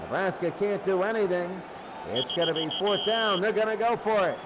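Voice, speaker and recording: High-voiced man calling football over noise. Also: moderate pace. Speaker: play-by-play sports commentator. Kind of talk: American football radio-style play-by-play commentary.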